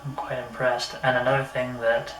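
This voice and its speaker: A man's voice: medium pitch, flat delivery, moderate pace, a bit bright. Echoey, distant man giving a casual review. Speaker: product reviewer. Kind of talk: casual hands-on product review. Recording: roomy echo, distant mic, faint noise.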